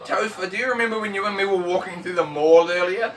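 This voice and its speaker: A loud man hosting a casual vlog, boisterous and teasing as he banters to camera. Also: sits high, fast talker, a crowd in the background. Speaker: host. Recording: distant mic, faint background noise.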